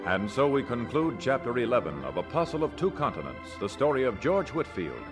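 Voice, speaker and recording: Announcer: man, mid-pitched, lively intonation, scripted series narration over clear music. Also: a little fast. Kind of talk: scripted radio drama sign-off narration.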